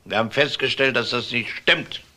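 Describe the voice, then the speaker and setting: An actor playing a probing, matter-of-fact police detective in a crime radio drama. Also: male voice, medium pitch, moderate pace, pushed and bright. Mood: confronting. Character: male police detective, probing and blunt interrogator. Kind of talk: German TV-crime style radio drama acting.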